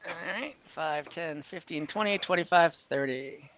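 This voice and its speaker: A man's voice: on the high side, unhurried, a bit bright, a little hiss or hum, rough recording. A man running an online tabletop game as game master, informal and encouraging as he explains things.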